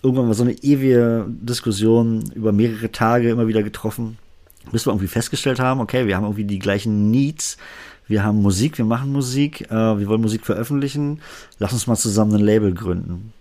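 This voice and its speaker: A man's voice: fairly low pitch, fast talker, mellow. A man with a Berlin accent, a music producer chatting casually in an interview, rambling with many fillers.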